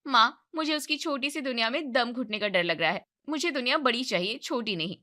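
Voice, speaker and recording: A woman acts out a young, money-minded daughter in a cartoon moral tale. Her voice is bright and cutting, and she is blunt, scornful and demanding about what she wants. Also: fairly high pitch, expressive, fairly quick. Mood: scornful, insistent. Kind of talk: moral-story cartoon voice acting.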